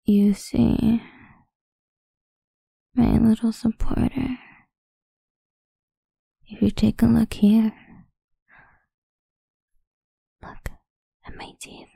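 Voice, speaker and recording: A woman playing a possessive, seductive goth vampire idol in an intimate ASMR roleplay, breathy and husky, often whispering, slow and teasing, close on the mic. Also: a little high, little pitch movement, mellow, dry recording, full character voice. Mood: possessive, hungry. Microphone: close studio-style microphone, dry.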